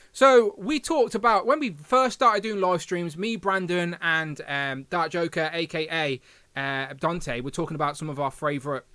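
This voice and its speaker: Chatty host with a lisp, high voice. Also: male voice, pushed and bright, no echo. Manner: chatty, rambling, self-deprecating. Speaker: live stream host. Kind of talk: casual live stream chat.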